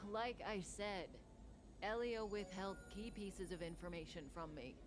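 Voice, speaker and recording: An actress playing a composed, enigmatic outlaw woman in a video game, speaking softly and deliberately with teasing confidence. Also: mid-range voice, lightly acted. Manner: deliberate, soft-spoken, teasing, coolly confident. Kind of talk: video game voice acting, scripted dialogue.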